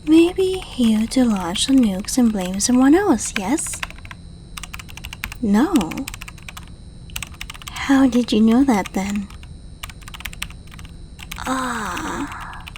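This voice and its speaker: Woman acting a slow-talking, suave female secret agent. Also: on the high side, a little mellow, slight background noise, low-quality recording. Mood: curious, suspicious. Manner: playful, polite, coaxing, unhurried. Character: suave, teasing female secret agent, flirtatious and coolly menacing. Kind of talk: scripted ASMR roleplay, addressing the listener.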